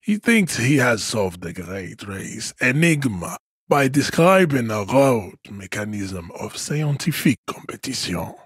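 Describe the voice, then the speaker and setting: A male actor voicing a haughty, contemptuous strongman ideologue in a video game, declaiming in a pompous, condescending way with a husky voice. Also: medium pitch, sing-song, slow, dry recording, studio quality, full character voice. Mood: disdainful, lecturing. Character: haughty, towering male race-theorist strongman, contemptuous and grandiose. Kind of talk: scripted video game character dialogue.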